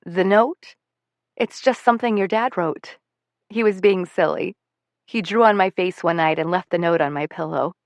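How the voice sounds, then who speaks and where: Grieving middle-aged mom, gentle and pleading, as a female audiobook reader puts her on. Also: on the low side, normal speed, no room sound, lightly acted. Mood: tearful.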